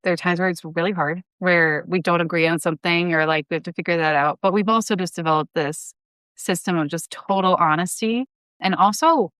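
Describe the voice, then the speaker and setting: A woman's voice: on the low side, fairly even intonation, a little fast, a bit bright, clear, resonant tone, no room sound. A woman speaking casually and conversationally as a podcast guest. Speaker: podcast guest, audio drama writer.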